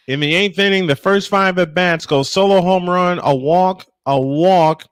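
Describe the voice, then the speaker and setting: Man for live baseball call with loose banter; voice a touch high, very dry acoustic. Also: a little slow, clean tone, dry recording. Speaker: play-by-play sportscaster.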